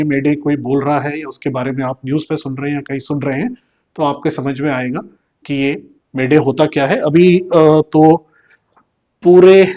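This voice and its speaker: Male explainer host, fairly high voice, teacherly delivery, slightly muffled recording. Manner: didactic, asks and answers own questions, repeats key words. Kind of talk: informal news explainer.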